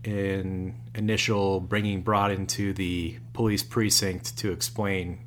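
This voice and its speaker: A man with a very low voice talks through scripture in an easy podcast chat. He explains at length and tosses in dry jokes as he goes. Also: flat delivery, slow pace, slight background noise. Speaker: podcast co-host. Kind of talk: casual chat on faith and scripture.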